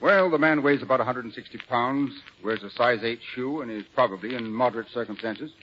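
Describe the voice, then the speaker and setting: An actor playing a shrewd, confident law official laying out facts in an assured, matter-of-fact 1940s radio manner. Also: male voice, mid-range voice, a little fast, close to an everyday voice. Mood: confident, businesslike. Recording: dull, noisy 1940s radio recording.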